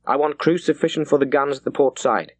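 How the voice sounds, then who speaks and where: A man voicing a curt, decisive British naval captain, barking crisp orders in an audiobook. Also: a little high, fairly quick, no room sound, pitched above the performer's own voice, lightly acted. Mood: brisk, excited. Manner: barks crisp orders, clipped and urgent. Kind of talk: dramatised audiobook character.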